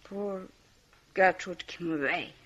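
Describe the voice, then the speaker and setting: A woman's voice: on the low side, takes it slowly, a bit bright. Wrecked, volatile young drunk woman for radio mystery; slurred, piercing voice, dull old recording. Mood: hysterical, despairing. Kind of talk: 1950s French radio mystery acting.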